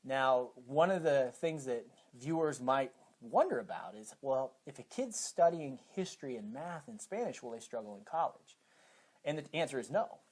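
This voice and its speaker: A man's voice: mid-range voice, lively intonation, normal speed, a little mellow. American man explaining as an interview guest. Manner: explains step by step with examples. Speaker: high school teacher and program coordinator, interview guest.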